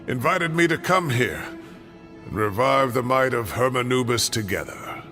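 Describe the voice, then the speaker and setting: An actor playing a weary, secretive old scholar in a video game, speaking in a grave, measured and dramatic way. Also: male voice, mid-range voice, animated, over music, lightly acted. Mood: solemn, confessional. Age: older. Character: weary secretive old male scholar, guilt-laden mentor.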